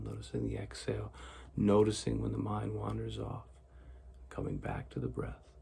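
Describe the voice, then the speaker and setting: Soothing guy doing guided meditation, super gravelly n husky, slow careful phrasing. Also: on the low side. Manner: gentle, soothing, deliberate.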